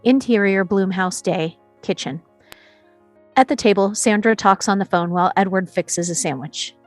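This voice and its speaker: A woman reading screenplay action lines as scripted narration, in a storytelling way with short pauses. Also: mid-range voice, dry recording, faint music behind. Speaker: stage directions reader.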